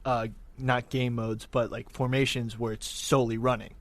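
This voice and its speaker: A man's voice: mid-range voice, little pitch movement, normal speed, dry recording. A man taking part as a panelist in a casual sports podcast chat.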